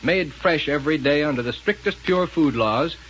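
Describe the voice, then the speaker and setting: A man announcing a 1930s radio serial and pitching its sponsor in scripted style. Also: animated, moderate pace, a bit bright. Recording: faintly noisy 1930s radio recording.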